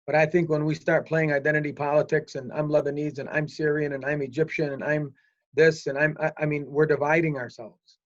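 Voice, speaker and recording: An older man speaking forcefully in a panel discussion, repeating himself to press his point. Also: flat delivery, a little fast. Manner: forceful, repetitive opinionated talk. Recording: muffled low-fidelity recording.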